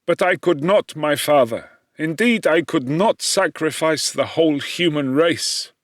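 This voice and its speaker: A man voicing an anguished, guilt-ridden young gentleman in an audiobook, declaiming with impassioned, drawn-out words. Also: on the high side, expressive, no room sound, studio quality.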